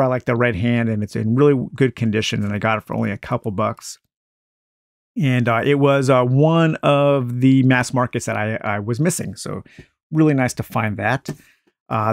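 Enthusiastic male book collector, gravelly and dry, relaxed delivery with fillers. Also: medium pitch, no room sound. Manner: enthusiastic, unhurried, many uh fillers. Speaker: book collector showing a book haul. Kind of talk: casual book haul chat with a read-aloud passage. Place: indoors at a table with books.

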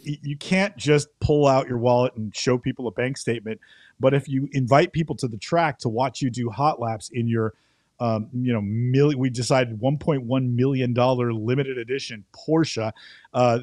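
A man's voice: mid-range voice, a little mellow, no room sound. A middle-aged man co-hosting a podcast, talking conversationally through a car auction recap into a close studio microphone. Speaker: podcast co-host. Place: indoor home studio. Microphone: close boom studio microphone.

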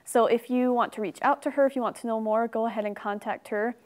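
Young woman, webinar host, upbeat, fairly high pitch, lapel mic. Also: fairly even intonation, quick pace, darkish tone. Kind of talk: hosting a webinar. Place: studio set.

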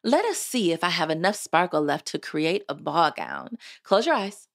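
A woman voicing a tiny, twittering fairy godmother in a storybook, kindly and bossy, with a brisk, affectionate way of talking. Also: fairly low pitch, sing-song, gravelly. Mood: kindly, instructing. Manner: brisk, affectionate, chanting rhymed spells.

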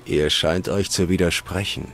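A gruff monster-hunting witcher, very deep and cool, speaking flatly. Soft game music runs underneath. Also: normal speed. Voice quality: flat, cool. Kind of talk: dubbed video game dialogue.

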